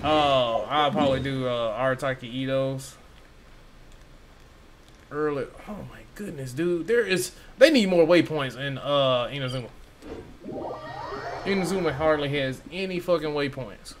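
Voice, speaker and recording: A man's voice: mid-range voice, a little slow, pauses often, a little hiss or hum. A man giving casual, slangy gameplay commentary, half muttering to himself as he plays. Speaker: gaming streamer. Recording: game music behind voice. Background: video game music.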